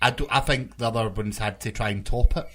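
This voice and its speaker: A man's voice: on the low side, fairly even intonation, quick pace, a bit bright. A man hosting a podcast, chatting casually about games. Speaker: podcast host.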